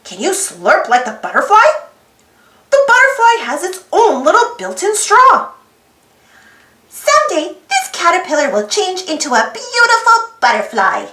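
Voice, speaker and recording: A woman reading a children's storybook aloud in an expressive, sing-song way, putting simple questions to young listeners. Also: extremely high pitch, measured pace, roomy sound. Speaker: storybook reader. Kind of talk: children's read-aloud narration.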